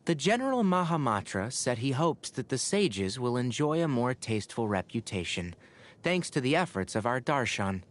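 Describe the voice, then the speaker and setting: A man voicing a young fox-eared forest ranger in a video game, a scholarly mentor who talks in an articulate, wry, gently lecturing way. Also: mid-range voice, sing-song, moderate pace, close to an everyday voice. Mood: puzzled. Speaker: video game character voice actor. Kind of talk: game character voice lines, conversational monologue. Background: background music.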